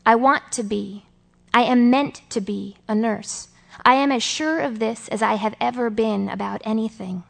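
A woman's voice: high-pitched, animated, unhurried, vocal fry, a bit bright, pitched above the performer's own voice, full character voice. A woman voicing an earnest, reserved young woman of twenty-three in an audiobook, speaking politely. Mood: earnest, resolute. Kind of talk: audiobook character dialogue.

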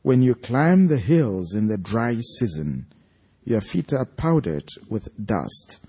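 A man's voice: mid-range voice, sing-song, takes it slowly, dark tone, husky. A man with a Nigerian accent, an author speaking and reading aloud to an audience at a live event. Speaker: author reading from his own story at an event.

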